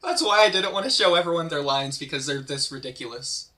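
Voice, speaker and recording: A man's voice: a little high, lively intonation, brisk, sharp tone, slight background noise. A man with an easygoing, encouraging manner, chatting casually as he directs an amateur script read. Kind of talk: casual chat between readings.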